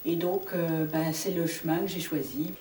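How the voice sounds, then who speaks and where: A woman's voice: sits low, steady tone, moderate pace, dark tone, a little hiss or hum. A woman in her fifties, a business owner, speaking in a composed, determined way. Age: 50s. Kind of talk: personal testimony. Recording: echoey distant mic.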